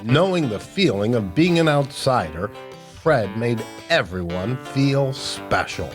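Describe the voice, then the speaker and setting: A man reads a picture book to children with a swooping, animated delivery. He takes his time and stretches key words for emphasis, while guitar music plays clearly behind him. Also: mid-range voice, takes it slowly. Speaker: children's storytime host.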